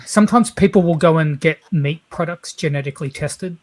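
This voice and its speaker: A man's voice: on the high side, brisk, dry recording. A man on an online panel, chatting casually and explaining things.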